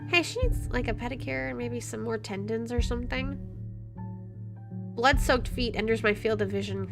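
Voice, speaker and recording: Woman, gaming host, medium pitch, dramatic reading, playful joking commentary, close mic, faint background music. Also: gravelly. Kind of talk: playthrough commentary, reading game text aloud.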